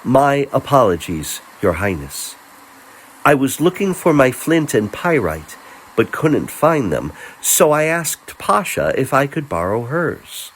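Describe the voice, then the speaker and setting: A man's voice: medium pitch, big pitch swings, slow, a little hiss or hum, voice pushed up, poor audio. A man voicing a deferential young male performer in a fantasy storybook tale, polite and apologetic.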